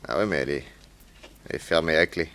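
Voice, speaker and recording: An actor playing a shrewd private detective, assured, ironic and commanding, in a 1960s French radio crime drama. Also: male voice, a bass voice, fast, sharp tone. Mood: brisk, commanding.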